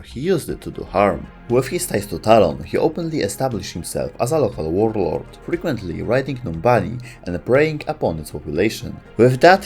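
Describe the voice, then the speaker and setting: A man's voice: on the low side, darkish tone. A man reading scripted narration for a video game lore explainer. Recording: music under the voice. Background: music.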